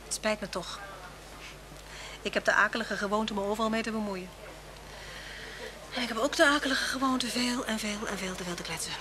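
An actress playing a talkative, forthright, friendly woman passenger in a radio play, with a wry, expressive delivery. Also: mid-range voice, fairly quick, long pauses, a crowd in the background, natural voice. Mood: apologetic. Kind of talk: Dutch radio play acting.

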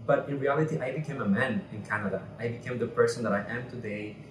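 A man's voice: mid-range voice, fast talker, noisy background. A man in his twenties from Cuba, talking earnestly as an interview guest. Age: 20s. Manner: earnest and animated, gestures while talking. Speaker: interview guest, pageant candidate. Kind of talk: casual interview chat. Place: bright indoor room. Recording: roomy echo, mic at a distance.

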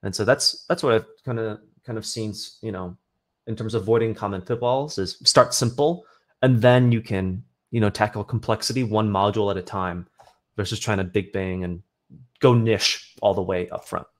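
A man's voice: fairly low pitch, moderate pace. A man wrapping up a panel answer on a video call, talking casually with frequent "you know" and "like".

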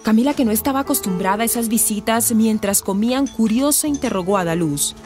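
A woman's voice: fairly high pitch, a little fast, darkish tone, faint music behind, close to an everyday voice. A woman narrator reading scripted narration for a radio drama. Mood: storytelling.

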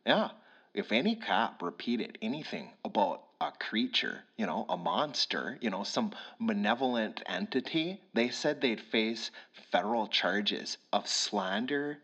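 A man playing a gossipy, blunt male police sergeant with a thick Minnesotan accent, talking low and folksy on the phone. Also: lively intonation, unhurried, husky, highs cut off, full character voice. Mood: confiding. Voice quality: hushed, folksy, drawling. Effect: heard over the phone.

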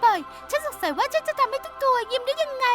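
Casting: a squeaky-high, bubbly young pony mare for a cartoon dub, cheerful children's music behind her. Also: fast talker. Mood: wistful. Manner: bubbly, excitable. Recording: music bed under the voice.

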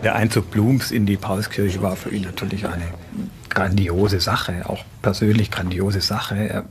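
A man speaking haltingly and searching for words as an expert in a documentary interview. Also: deep voice, fairly even intonation, faint music behind.

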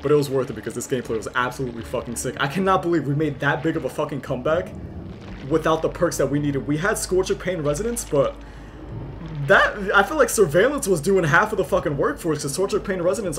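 Hoarse young gaming YouTuber, slightly high voice, mutters then yells and swears a lot, game noise clearly behind. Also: male voice, lively intonation, fast. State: hoarse, losing his voice. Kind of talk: excited live gameplay commentary.

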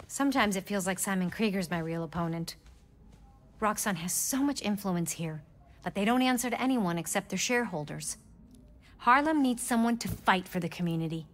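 An actress playing a warm, determined middle-aged mother and community politician in a video game. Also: mid-range voice, expressive, fairly quick, natural voice. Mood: friendly, earnest. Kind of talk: naturalistic video game acting.

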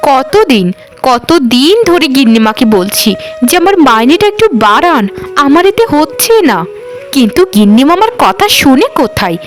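A woman's voice: sits high, sing-song, moderate pace, soft background music. A woman voicing a stubborn but caring young housemaid in a cartoon, with an argumentative manner. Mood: fed up. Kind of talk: cartoon voice acting.

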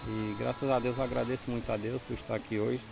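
A man's voice: mid-range voice, level tone, fast talker, creaky, rough recording. A middle-aged man from Ceará with a northeastern Brazilian accent, speaking plainly as a farmer in a casual outdoor interview. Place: outdoors on a farm. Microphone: handheld mic with furry windscreen. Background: music.

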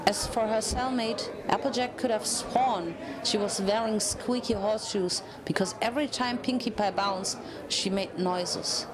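A woman narrates a story in a dramatic reading style. Her voice sits at a medium pitch, and a crowd murmurs clearly behind her. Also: measured pace. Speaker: narrator of fan fiction.

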